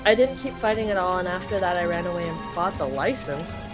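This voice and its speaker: A woman's voice: fairly low pitch, expressive, a little fast, music in the background. A young woman from Canada, talking casually and swearing freely as she translates game text aloud for a friend over a call. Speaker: live translator for a friend's playthrough.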